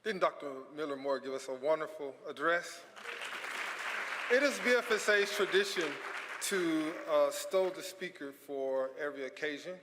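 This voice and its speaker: A man makes upbeat announcements as the emcee of a ceremony, with a higher, bright voice. His voice echoes through a large auditorium, with a faint crowd murmur underneath. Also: normal speed, slight background noise. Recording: reverberant hall, faint crowd.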